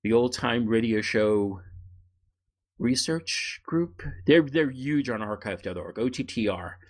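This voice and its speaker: A middle-aged man talks as a podcast co-host in a casual, conversational way. His pitch sits in the middle for a man. He tells stories with animation and slips into quoted voices as he goes. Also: animated, takes it slowly. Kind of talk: casual chat, storytelling.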